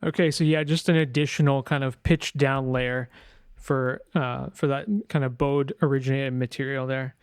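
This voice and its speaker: A man's voice: mid-range voice, level tone, moderate pace, clear, resonant tone, no room sound. A man talking casually through a screen-share tutorial as a sound designer, informal and loose, thinking aloud as he goes.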